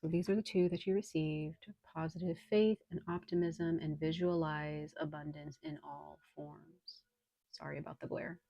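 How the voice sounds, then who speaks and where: A woman with a low, slightly hoarse voice, under the weather with a cold, reads tarot for her viewers. She talks in an unhurried, reassuring way and fills pauses with ums. The sound is dry and close, with no room echo. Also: steady tone, dark tone, dull audio. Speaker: tarot reader. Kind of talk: casual tarot reading to viewers.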